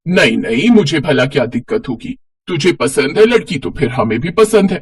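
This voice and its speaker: Man as a scolding mother-in-law, bossy, old-fashioned, husky voice, family cartoon story. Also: fairly high pitch, big pitch swings, normal speed, distant mic, pitched below the performer's own voice. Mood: grudging, scheming. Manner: sharp, nagging scolding.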